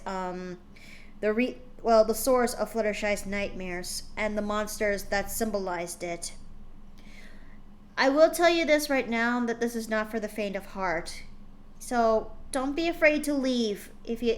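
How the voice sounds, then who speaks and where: A woman streamer reading fanfiction aloud and talking to her chat. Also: mid-range voice. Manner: slow, deliberate, talks to chat. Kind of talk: reading a story aloud on a livestream.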